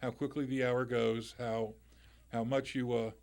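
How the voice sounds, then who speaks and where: Loud, chatty middle-aged man on the radio. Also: medium pitch, little pitch movement, unhurried, creaky. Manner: chatty and conversational, with restarts and asides. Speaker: lawyer hosting a call-in radio show. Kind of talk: live call-in radio talk. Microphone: studio microphone.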